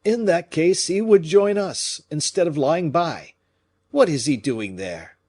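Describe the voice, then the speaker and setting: A man reading an audiobook, voicing a proud, agitated French nobleman who gives sharp commands. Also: a little high, lively intonation, unhurried, pitched above the performer's own voice. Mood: imperious. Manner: imperious, exclamatory.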